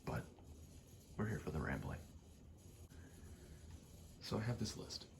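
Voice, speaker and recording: A man in his twenties doing a casual ASMR gaming ramble, soft-spoken and often whispering, in a halting, meandering way. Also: fairly low pitch, sing-song, gravelly, faint music behind. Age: 20s. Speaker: ASMR gaming video host. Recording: faint noise, game button clicks. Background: controller clicking, faint background noise.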